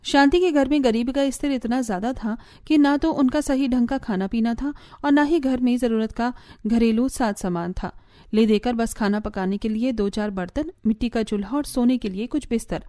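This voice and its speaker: Soft-toned woman narrating a story. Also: on the high side, normal speed, no room sound, studio quality.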